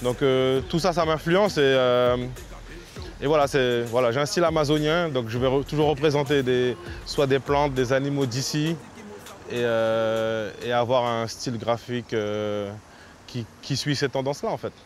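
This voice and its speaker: Man from French Guiana, graffiti artist, casual interview, halting delivery, medium pitch, music underneath. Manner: halting, with repeated words and restarts. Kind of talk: casual spoken interview about own work.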